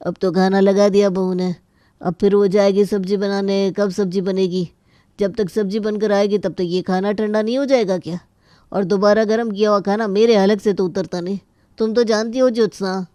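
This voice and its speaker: A woman's voice: mid-range voice, fairly even intonation, moderate pace, dark tone, no echo, studio quality, close to an everyday voice. A woman voicing a particular, food-loving middle-aged man of the family, talking in a coaxing manner.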